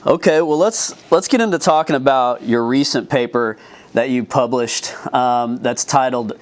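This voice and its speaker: A man's voice: medium pitch, normal speed. Man hosting a studio interview. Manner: scripted intro, then joking banter. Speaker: host, professor. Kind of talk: interview host.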